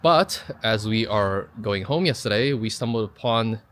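A young man hosting a travel vlog, chatting in a relaxed way to his friend and the camera. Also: on the low side, moderate pace. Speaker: vlogger host. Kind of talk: casual chat. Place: in a car and outdoors. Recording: noisy car and street sound.

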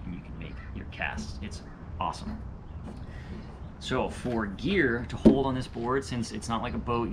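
A young adult man casually walking through his fishing paddle board setup in a sunny backyard, chatty and relaxed. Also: on the low side, heavy background noise. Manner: relaxed, chatty, repeats "really, really". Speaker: outdoor gear reviewer. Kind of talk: casual product walkthrough. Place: sunny backyard patio outdoors.

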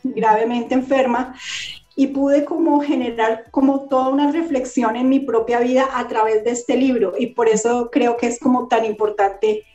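A woman from Bogotá speaks as an interview guest, her voice a bit high and her manner earnest and reflective. Her laptop mic picks up a roomy, echoing space on the video call. The sound is dull and lo-fi. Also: fairly even intonation, moderate pace, mellow, soft background music. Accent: Bogotá Colombian. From Colombia. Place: home room indoors.

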